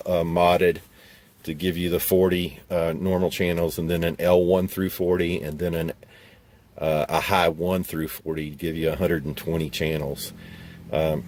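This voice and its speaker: Deep voice guy casually walking thru his gear, phone video, bit of noise behind him. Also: darkish tone. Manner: plain-spoken, informal, explanatory. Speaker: host of an off-road radio gear overview. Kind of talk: casual unscripted product walkthrough. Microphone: phone used for filming. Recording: noisy phone camera audio.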